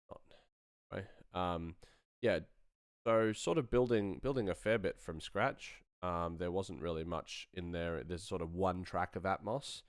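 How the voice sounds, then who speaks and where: Low, smooth-voiced man chatting casually. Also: no echo, studio quality. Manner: rambling, informal, thinking aloud. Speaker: sound designer hosting a live stream. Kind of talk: casual tutorial chat while working.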